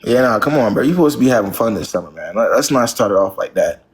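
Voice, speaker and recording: Fast-talking blunt young man. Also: mid-range voice, expressive, roomy sound. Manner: blunt, lecturing, slangy. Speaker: live-stream advice host. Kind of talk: blunt relationship advice to callers.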